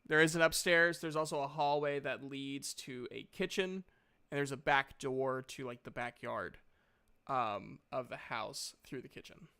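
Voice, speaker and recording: A man casually narrating a tabletop game as game master, halting and thinking aloud as he goes. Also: medium pitch, bright, forceful tone, clear, resonant tone.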